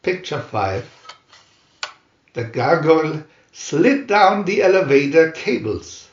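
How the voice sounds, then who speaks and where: A man reading a scripted adventure story aloud in a dramatic, deliberate narrator's manner. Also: fairly high pitch, big pitch swings, reverberant, dull audio. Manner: dramatic, deliberate comic-adventure reading with announced picture numbers. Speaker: narrator and reader.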